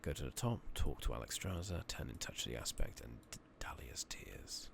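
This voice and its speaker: A man giving a soft-spoken, partly whispered ASMR game playthrough, musing to himself and reading game text aloud over a noisy makeshift microphone. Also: rumbling low, sing-song, moderate pace, gravelly. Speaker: ASMR gaming streamer. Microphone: close makeshift replacement microphone.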